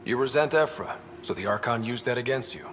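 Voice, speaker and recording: A man's voice: mid-range voice, animated, fairly quick, a bit bright, a little hiss or hum, close to an everyday voice. Man as an earnest space explorer leader, reverberant. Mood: earnest, steady. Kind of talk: video game acting. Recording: long reverberant hall echo.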